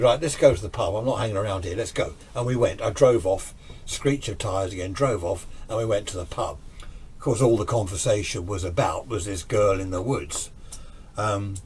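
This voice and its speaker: A British man with a fairly low voice recounts a personal anecdote in a conversational, informal way. He relays the dialogue between the people in his story as he goes. Some noise is audible behind him on the recording. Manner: conversational, acts out reported dialogue.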